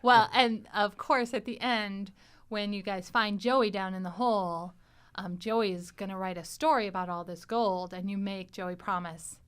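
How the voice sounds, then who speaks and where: A woman hosting and editing a podcast, chatting in a studio, lively and quick to laugh. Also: medium pitch, clean tone. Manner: animated, laughs often. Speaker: podcast host and editor. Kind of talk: casual chat.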